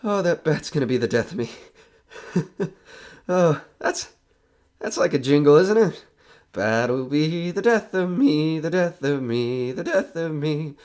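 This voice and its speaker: A man's voice: a little high, animated, unhurried, a little mellow. Jittery, rambling man in haunted house. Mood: unravelling, giddy. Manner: rambling, nervous joking and chuckling. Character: jittery skeptical male radio broadcaster alone in haunted house. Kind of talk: audio horror drama, live-broadcast monologue.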